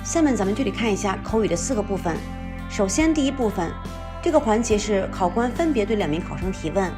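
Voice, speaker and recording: Lady teacher reading out a test prep lesson, steady and clear, music playing under it. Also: mid-range voice. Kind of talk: scripted lesson narration.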